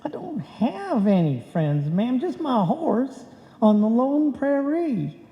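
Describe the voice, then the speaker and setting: A woman's voice: on the high side, very animated, slow pace, pitched above the performer's own voice, heavily acted. A woman playing a folksy, drawling male cowboy caller in a live old-time radio comedy. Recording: dull echoey hall recording.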